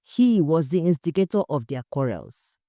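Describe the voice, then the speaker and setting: A woman voicing an expressive married hostess in an audio story. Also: deep voice, moderate pace, dry recording, clean studio sound. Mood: defensive, insistent. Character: gracious married hostess, grieving friend, defensive when questioned.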